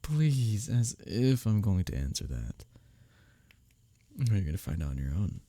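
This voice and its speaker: A man playing a smug, taunting office rival in an intimate roleplay audio drama, sardonic and teasing, with a husky low voice. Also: very animated, measured pace, dark tone, dry recording, full character voice. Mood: sardonic, needling. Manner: sardonic, teasing, needling, with breathy chuckles.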